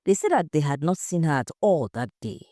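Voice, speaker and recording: A woman's voice: low voice, sing-song, brisk, mellow, no echo, studio quality. A woman voicing an anxious, indignant husband accused of murder in an audio story, emphatic and defensive. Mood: worried, indignant.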